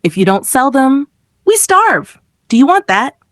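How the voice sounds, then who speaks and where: Cruel storybook stepmother, done by a woman narrator, contemptuous scold, raised voice pitch, dry recording. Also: sing-song, measured pace, no echo. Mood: threatening. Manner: hissing, scolding.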